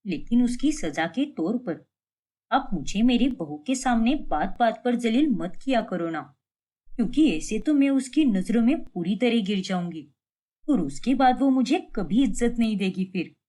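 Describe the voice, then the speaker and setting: A woman's voice: fairly high pitch, animated, moderate pace, mellow. A woman voicing a vain, resentful middle-aged mother-in-law in a cartoon family drama, whiny and self-important. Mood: aggrieved.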